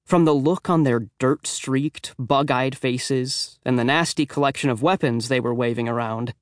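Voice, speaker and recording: A man's voice: medium pitch, moderate pace, no echo, studio quality. A man narrating a children's book expressively, with a wry, conversational, youthful first-person delivery. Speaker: audiobook narrator.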